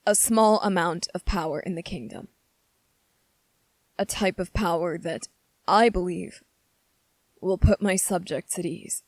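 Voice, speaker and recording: A woman's voice: fairly low pitch, dry recording. A woman playing an anxious, earnest young prince in an ASMR fantasy roleplay, speaking carefully and formally with hesitant pleading.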